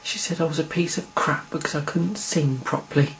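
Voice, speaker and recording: A performer voicing a soft-spoken, timid young woman of twenty. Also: mid-range voice, fairly even intonation, a little fast, a little hiss or hum, lightly acted, poor audio. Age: 20s.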